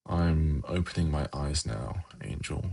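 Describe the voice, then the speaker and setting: A man playing a suave, teasing, gently menacing demon prince in an intimate ASMR fantasy roleplay, with a slow, coaxing delivery. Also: heavily acted. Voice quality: very low, gravelly, husky.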